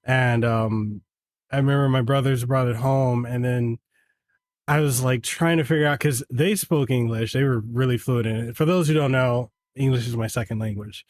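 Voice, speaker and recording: A man's voice: medium pitch, moderate pace, dry recording. A middle-aged man from Western Samoa whose first language is Samoan, telling a casual story as a podcast guest and acting out family dialogue. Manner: animated, acts out family dialogue.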